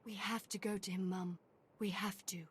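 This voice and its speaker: A woman's voice: mid-range voice, level tone, normal speed, a little hiss or hum, close to an everyday voice. A young woman playing a spirited, thrill-seeking noble daughter in a video game, with an eager, theatrical manner. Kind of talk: video game cutscene acting.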